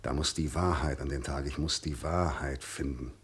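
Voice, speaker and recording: An actor playing a cold, authoritarian middle-aged prosecutor and father in a German radio drama, formal, sardonic and husky. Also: very deep voice, steady tone, moderate pace, exaggerated voice. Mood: sardonic, contemptuous.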